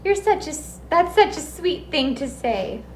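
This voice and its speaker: A woman's voice: high voice, lively intonation, measured pace, a little mellow, echoey room. A woman voicing a nervous, sweet, bashful creature in a play for talent show contestants. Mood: bashful, flattered. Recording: noticeable background noise.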